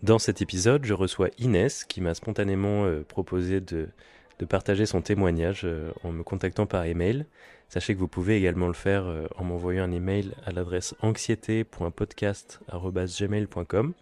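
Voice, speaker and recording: A man's voice: sits low, fairly even intonation, moderate pace, darkish tone. A man from France hosts a podcast in a casual, conversational way, hesitant and often searching for his words. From France (Nantes). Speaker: podcast host.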